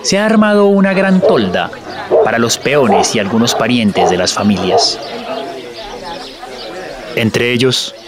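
A man narrating a scripted radio drama in deliberate, measured phrases. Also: medium pitch, lively intonation. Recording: loud music and crowd behind.

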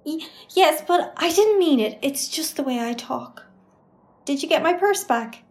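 A woman's voice: high voice, expressive, measured pace, darkish tone. A woman playing a brash accused shopper. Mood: defensive. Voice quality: blunt, defensive. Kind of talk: amateur audio drama acting.

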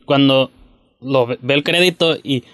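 Dude interviewing, rambly and slangy, dry close sound. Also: male voice, medium pitch, measured pace, a bit bright, dry recording. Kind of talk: casual interview talk.

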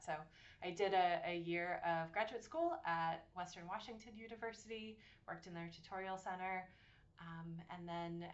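A woman in her forties from Massachusetts, co-hosting a podcast and telling her story with earnest, warm detail and frequent ums. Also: lowish voice, lo-fi sound. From Massachusetts, USA. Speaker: podcast co-host, university program director. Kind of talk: casual podcast chat.

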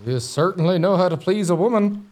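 An agreeable, dry-witted sidekick played by a man in a comic gothic audio play. His voice is slightly high and very animated, with a wry turn. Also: brisk. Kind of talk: gothic comedy audio drama.

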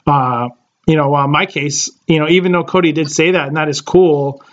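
A man in his late twenties co-hosting a podcast, his voice sitting a little high. He rambles through casual chat full of fillers and restarts. The sound is slightly dull and lo-fi. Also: normal speed. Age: late 20s. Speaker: podcast co-host.

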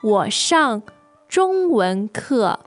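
A woman co-hosting a podcast language lesson, modelling phrases with slow, careful pronunciation. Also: fairly high pitch, sing-song, faint music behind. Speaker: native-speaker co-host teacher.

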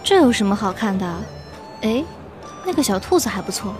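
A woman playing an ageless fox spirit in an audio drama, aloof and wistful, gently teasing with hidden fondness. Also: mid-range voice, sing-song, a little fast, over music, natural voice. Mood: aloof, fond.

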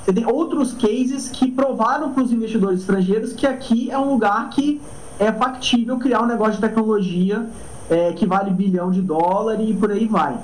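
A man's voice: sits high, normal speed, a little mellow, a little hiss or hum, poor audio. A startup cofounder, a man, answering an interview casually and padding his speech with fillers and tag questions.

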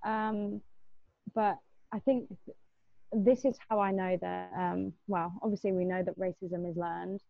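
A woman's voice: medium pitch, fairly even intonation, a little slow, vocal fry, dry recording. A young adult woman with an English accent, casually recalling personal experiences in a group discussion. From England. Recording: thin phone-like band-limited sound.

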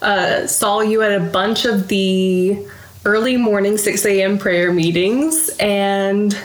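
A woman's voice: medium pitch, fairly even intonation, slow. Bubbly woman host in an echoey room. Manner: bubbly, laughs often, many likes and fillers. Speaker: podcast host. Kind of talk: casual chat. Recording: roomy echo.

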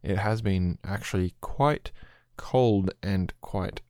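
A middle-aged Australian man with a very low voice talks through a congested head cold. He rambles and explains things casually, with the odd filler, as a solo podcast host. The sound is dry and close. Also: takes it slowly, darkish tone, clear, resonant tone, dry recording.

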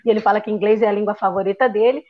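A woman's voice: mid-range voice, steady tone, very fast. A woman from Rio de Janeiro with a Carioca accent, a podcast guest and language teacher chatting casually and dropping many filler tags. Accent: Carioca Brazilian Portuguese. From Brazil (Rio de Janeiro). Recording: dull lo-fi sound.

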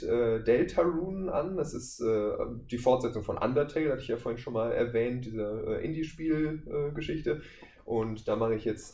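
A man's voice: medium pitch, darkish tone. A man speaking casually as a podcast guest, giving hesitant, meandering answers. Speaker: guest, voice actor and audio drama maker. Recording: roomy, distant mic, faint noise.

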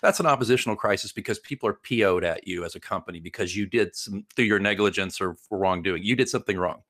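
A man with a fairly low voice talks as an interviewed consultant in a dry recording. He explains things informally and emphatically, wandering through examples and correcting his own wording as he goes. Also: fast, a bit bright, dry recording. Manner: emphatic, rambling, self-correcting. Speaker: guest PR crisis consultant.

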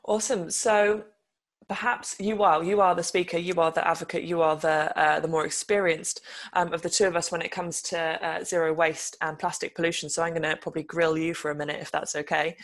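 A woman's voice: fairly low pitch, little pitch movement, fairly quick. A young woman from the UK hosting a podcast, chatty and friendly with lots of ums. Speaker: podcast host.

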